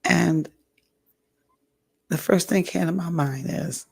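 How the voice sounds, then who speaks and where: A woman with a deeply raspy, gravelly voice talks slowly and haltingly, pausing often to clear her throat. Her voice is husky and strained from hoarseness as she gives a candid personal update to her audience. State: hoarse, recovering from a stroke. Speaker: vlogger. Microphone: audio only, home recording.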